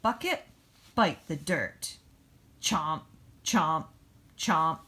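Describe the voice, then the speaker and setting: A woman voicing an eager, boastful backhoe loader in an expressive, playful picture-book read-aloud. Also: low voice, extremely slow, heavily acted. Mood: straining, determined. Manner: expressive, playful, emphatic storybook reading. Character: eager, boastful cartoon backhoe loader who loves dirty work.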